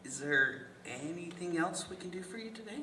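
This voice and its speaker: Man acting bright, animated helpful colleague, echoey. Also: sits high, normal speed, heavily acted. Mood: apologetic. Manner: casual, accommodating. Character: helpful, friendly male writer's room colleague, Ephraim. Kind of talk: script table read. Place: indoor festival venue. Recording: distant echoey room with background noise.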